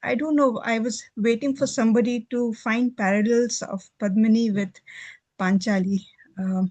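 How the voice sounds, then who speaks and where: A speaker offers thoughts in a book discussion, in a hesitant, halting manner. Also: mid-range voice, fairly even intonation, a little mellow, no room sound. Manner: hesitant, halting, frequent repetitions. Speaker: book club discussion participant. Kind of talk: book discussion, improvised commentary.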